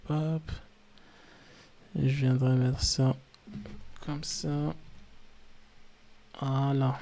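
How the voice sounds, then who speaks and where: A man streaming live in French, which is not his first language, chatting loosely and haltingly while he sets up. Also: medium pitch, vocal fry, darkish tone. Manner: halting, loose, talks to himself while setting up. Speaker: streamer reacting to videos. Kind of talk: casual live-stream chat.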